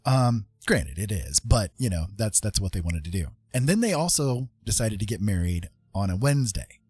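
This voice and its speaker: A man's voice: fairly low pitch, very animated, moderate pace, a little mellow, slightly rough. A man hosting a livestream, telling a personal story in a casual, rambling and informal way. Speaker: livestream host.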